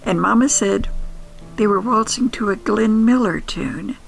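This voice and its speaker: An older woman telling nostalgic first-person stories in an intimate, wistful, sentimental manner, with musing pauses. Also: medium pitch, gravelly, faint music behind, rough recording. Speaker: podcast host and storyteller.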